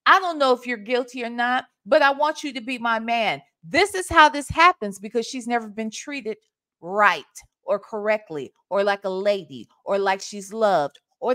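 A woman in her forties with a Southern US accent, hosting a reaction video from a small room at home and speaking into a desk microphone with emphatic, sassy commentary. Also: fairly high pitch. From Ohio, United States. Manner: emphatic, sassy, animated talk. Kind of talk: casual commentary on a played call. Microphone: webcam with desk microphone.

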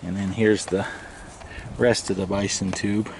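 A man's voice: on the low side, little pitch movement, unhurried. Chatty American man, noisy camera audio. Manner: informal, chatty, explanatory. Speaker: vlogger. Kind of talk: casual geocaching vlog narration. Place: car interior and open roadside outdoors. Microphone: handheld camera and dash cam.

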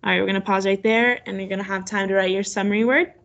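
A woman's voice: mid-range voice, steady tone, quick pace, fairly bright tone, muffled recording. A woman teacher reading a story aloud and guiding children through an online lesson on a video call.

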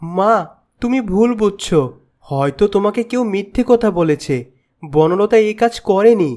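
A man playing a kind, earnest young prince in a fairytale cartoon, with an expressive delivery. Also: sits high, a little slow. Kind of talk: Bengali fairytale cartoon acting.

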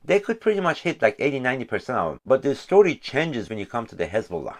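A man's voice: mid-range voice, big pitch swings, fast. Persian accent guy, 40s, chatting to camera from his couch, explaining stuff, lots of restarts. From Iran. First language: Farsi. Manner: explanatory, gestures freely, restarts often. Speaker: channel host. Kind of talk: casual commentary to camera. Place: indoors at home in front of a sofa.

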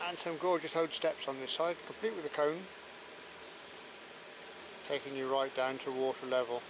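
A man talks his way along a walk, chatty and admiring, slipping in wry little asides. His voice sits a touch higher than usual. Faint outdoor noise and birdsong murmur behind him. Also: fairly even intonation, normal speed. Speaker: walking vlogger narrating. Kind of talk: casual walking commentary. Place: outdoors by a rural estuary and canal.

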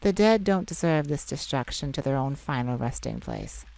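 A woman voicing a feisty, sardonic male vampire with a softer side, in a theatrical ASMR roleplay addressed to the listener. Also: very deep voice, moderate pace, gravelly, full character voice. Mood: gentle, sympathetic. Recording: steady rain ambience behind the voice. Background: rain falling.

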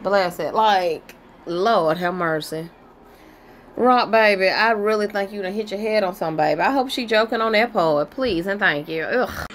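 A woman's voice: medium pitch, normal speed, slight background noise. A woman giving casual reaction commentary on gossip, sassy and exclamatory, talking straight to her listeners.

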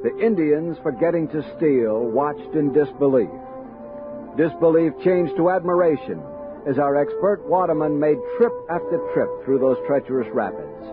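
An actor playing a thoughtful, commanding American army captain in a 1960s radio drama, measured and authoritative. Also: male voice, on the high side, natural voice. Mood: admiring narration. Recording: dull vintage radio recording with music.

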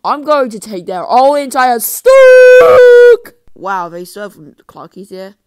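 Animated high, clear young-sounding voice acting out lines. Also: takes it slowly. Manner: animated, exclamatory, swinging from muttering to yelling. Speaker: amateur voice actor recording character lines. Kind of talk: exaggerated web cartoon voice lines.

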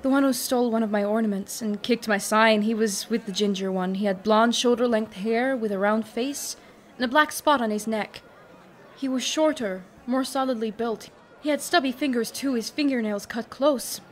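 A woman's voice: fairly high pitch, moderate pace, natural voice. A woman playing an earnest elf market trader in an audio drama, speaking carefully.

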